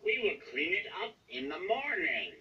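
A woman's voice: big pitch swings, normal speed, sharp tone, full character voice. A woman voicing a worried but forgiving male puppet friend from a storybook, earnest and caring. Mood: soft, forgiving. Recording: distant, dull low-fidelity home recording.

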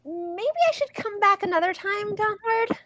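A woman's voice: extremely high pitch, fairly even intonation, normal speed, pushed and bright, dry recording. A woman voicing a fussy, lovestruck young female duck in a live comic parody dub. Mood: curious, impressed.

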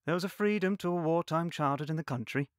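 An older man giving a measured, reminiscent narration about childhood. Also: fairly high pitch, no room sound, clean studio sound. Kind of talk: scripted narration. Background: music.

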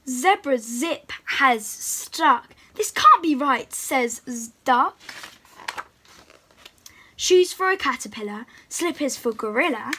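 A young girl, presenting to little children in an excited, encouraging way and reading picture books aloud. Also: high voice, takes it slowly, a little hiss or hum. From England. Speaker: host of a children's read-aloud channel. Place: small room at home, indoors.

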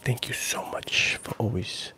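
A middle-aged man casually chatting with viewers on an ASMR live stream, drifting between soft murmured talk and whispers close to the mic. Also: a little slow. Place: small indoor gaming room. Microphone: handheld-style recorder mic close to the mouth.

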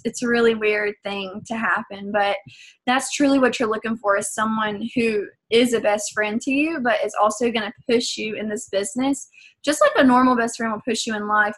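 A woman's voice: fairly high pitch, fairly bright tone. A young woman with a Southern US accent, talking casually and earnestly about her coaching business, with lots of fillers. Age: young adult. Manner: chatty, filler-heavy, earnest. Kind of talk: casual vlog talk to camera.

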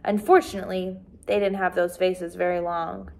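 A woman in her twenties reading a children's book aloud as a teacher, with expressive, clearly paced narration. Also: on the low side, moderate pace. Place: small decorated indoor room.

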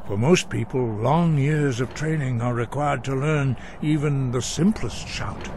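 An actor playing a solemn, reverent elder monk mentor in a fantasy video game, speaking in a measured, formal manner. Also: male voice, medium pitch, a little mellow, slight background noise, close to an everyday voice. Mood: grave, instructive.

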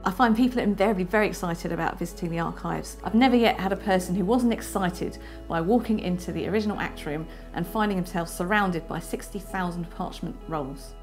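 A woman's voice: fairly low pitch, a little fast, few pauses, darkish tone. A woman archivist explaining her work in a matter-of-fact documentary interview. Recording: clear music underneath.

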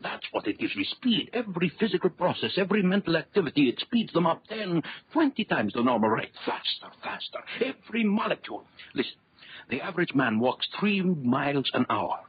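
An actor playing a megalomaniac villain doctor in a 1930s radio horror drama, theatrical, commanding and boastful. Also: male voice, on the high side, sing-song, brisk, breathy edge, no room sound, full character voice. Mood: manic, gloating. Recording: muffled old 1930s radio recording.

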